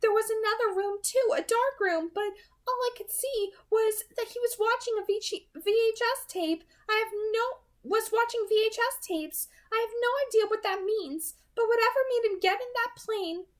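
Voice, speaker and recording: A woman voicing an urgent young woman with quick, clipped delivery in a story read aloud. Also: very high-pitched, voice pushed up. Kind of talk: audiobook-style character reading.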